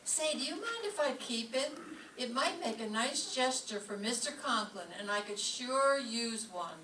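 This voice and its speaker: A woman playing a sharp-tongued English teacher with dry, sarcastic delivery in an old-time radio comedy, recorded from a distance in a roomy space. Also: fairly high pitch, animated, measured pace. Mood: wry. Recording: distant roomy stage pickup, faint noise.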